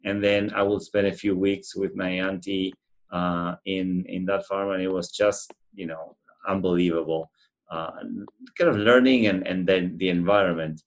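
This is a man with an Argentine accent and a low-pitched voice. He speaks as an interview guest, earnestly and thoughtfully, often pausing to find his next words. He sounds middle-aged and conversational. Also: fairly even intonation. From central Argentina. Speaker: animal shelter representative being interviewed.

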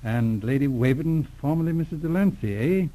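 An actor playing a middle-aged, seasoned investigator in a 1940s radio drama, brisk, probing and courteous to his superiors. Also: fairly high pitch, expressive, dark tone, close to an everyday voice, low-quality recording. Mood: inquisitive, brisk. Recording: old radio drama recording.

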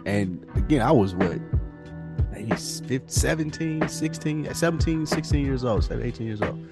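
Brief: male podcast co-host, casual, profane, animated swings, music bed. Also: mid-range voice, normal speed. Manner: animated, swears a lot, sing-song when worked up. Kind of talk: casual chat.

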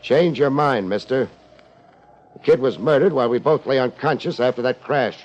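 An actor playing a tough, wisecracking American private detective in a 1950s radio drama, with a sardonic, clipped delivery. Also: male voice, medium pitch, normal speed, close to an everyday voice. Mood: angry, demanding. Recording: dull old 1950s radio recording.

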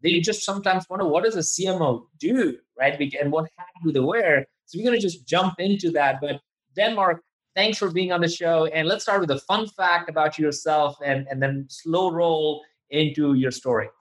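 A man's voice: on the high side, moderate pace, fairly bright tone, rough recording. A man hosting a podcast interview, chatting informally and with enthusiasm. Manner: enthusiastic and informal, says "dude". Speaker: podcast host. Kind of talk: casual interview chat.

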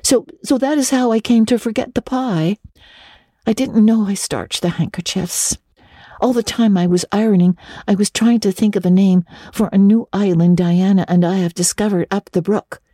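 A woman's voice: medium pitch, normal speed, dark tone, no echo, studio quality, close to an everyday voice. A woman voicing an earnest, romantic young girl in a dramatic storybook reading, gushing and breathless, tripping over eager repetitions. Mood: earnest, rambling. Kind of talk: dramatic audiobook reading of classic children's literature.

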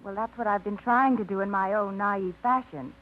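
A woman's voice: medium pitch, moderate pace, lightly acted. An actress playing a wry, independent young woman tired of routine, with a dry wit, in a 1950s radio drama. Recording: tinny old radio broadcast.

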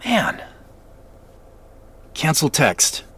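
An actor playing a remorseful, self-doubting man in an audio drama, muttering to himself in a halting, husky voice with sighs. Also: a little high, huge pitch swings, bright, forceful tone, full character voice. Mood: hesitant, uneasy. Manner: halting, sighing, self-reproachful. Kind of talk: naturalistic audio drama acting.

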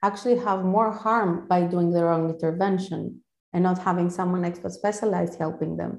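A woman whose first language is Greek, a guest speaking quickly and eagerly in a podcast interview, often restarting her phrases. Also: on the low side, fairly even intonation, gravelly, a little mellow, echoey room. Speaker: guest, founder of a counselling service.

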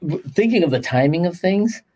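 A man's voice: sits high, animated, brisk, mellow. Upbeat, chatty male podcast host. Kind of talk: interview conversation.

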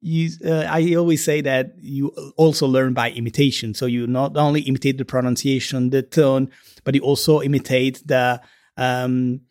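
A man's voice: mid-range voice, level tone, moderate pace, a little mellow, dry recording, clean studio sound. A man co-hosting a podcast in casual conversation, hesitant and restarting words with many "uh"s. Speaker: podcast co-host.

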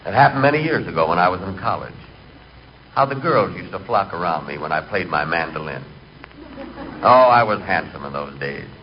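A man's voice: sits low, lively intonation, a little fast. A man acting a timid, neurotic and comically vain storyteller in 1940s radio comedy. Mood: deadpan, confiding. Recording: muffled, hissy 1940s radio recording.

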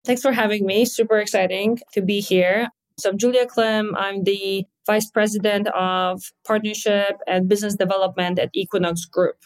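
A woman's voice: mid-range voice, fairly even intonation, rough recording. Upbeat Polish-accented woman executive. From Poland. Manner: upbeat, quick and candid with light laughs. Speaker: guest, fitness company executive. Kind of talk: podcast interview.

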